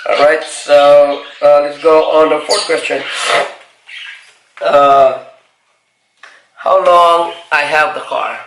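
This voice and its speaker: A forty-year-old man from the Philippines answering viewer questions in a casual vlog, halting with many fillers and restarts. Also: fairly high pitch, level tone, a bit bright, echoey room, rough recording. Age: 40s. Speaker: car vlogger.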